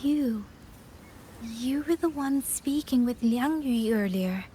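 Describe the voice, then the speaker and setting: A woman's voice: a little high, takes it slowly, warm tone, a little hiss or hum. An actress playing a composed, resolute young female soldier in a game, earnest, polite and firm. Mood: questioning.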